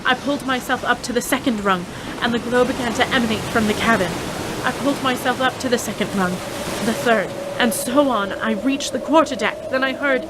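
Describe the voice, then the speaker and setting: A woman playing a brave but frightened young adventurer, narrating her ordeal at sea in a measured, dramatic radio drama style. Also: a little high, very noisy, natural voice. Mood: urgent, suspenseful.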